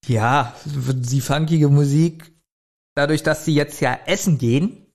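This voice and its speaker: A man's voice: a little high, a little slow. A man co-hosting a podcast, casually commenting and thinking aloud in loose phrases. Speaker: podcast co-host. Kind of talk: casual chat, commenting on an audio drama.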